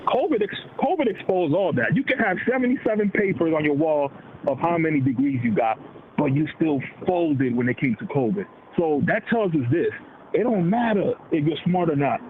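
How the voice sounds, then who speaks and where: A man of Dominican background riffs on politics in loose, slangy talk and keeps slipping into quoted voices. His voice sits a little high for a man. It comes through a narrow, tinny phone-like line with steady noise behind it. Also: moderate pace. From Dominican heritage. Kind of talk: casual political chat.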